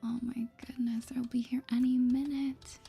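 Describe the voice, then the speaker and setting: A woman speaks in a soft, mellow whisper, slightly high in pitch, in an ASMR gaming style. Her manner is gentle and politely chirpy, like a friendly shopkeeper chatting with customers. Soft music plays behind her. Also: level tone, moderate pace, creaky, husky. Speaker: gaming video host. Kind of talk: soft-spoken whispered ASMR game playthrough.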